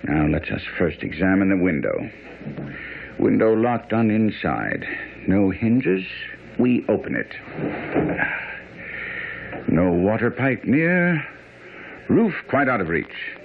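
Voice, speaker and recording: A dull, slightly hissy radio recording of a brisk master detective in a mystery play. His low voice ranges widely as he lectures with precise confidence. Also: sing-song, gravelly, heavily acted. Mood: brisk, instructive. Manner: didactic, precise, commanding. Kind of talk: old-time radio drama acting. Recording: muffled, noisy radio broadcast.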